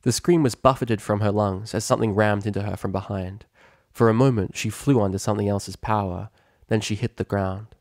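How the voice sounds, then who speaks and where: A man's voice: lowish voice, fairly even intonation, fairly quick, darkish tone, no room sound, studio quality. A man reading a story aloud as a scripted narrator. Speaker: fan fiction reader.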